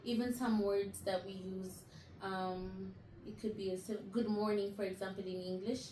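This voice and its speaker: Woman, mid-range voice, upbeat, quick bursts of speech, echoey room. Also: medium pitch, steady tone, a little mellow, slight background noise. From Ethiopia. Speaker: guest, DJ and entertainer. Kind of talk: casual interview chat.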